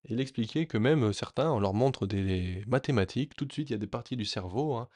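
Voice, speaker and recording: A man sharing a personal story in a reflective, earnest way, often pausing and restarting his sentences. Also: medium pitch, lively intonation, darkish tone, no echo, clean studio sound. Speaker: vlogger.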